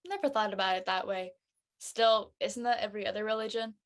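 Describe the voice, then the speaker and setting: A woman playing a chatty, curious high school girl in a casual script reading. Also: steady tone, fairly quick, lightly acted.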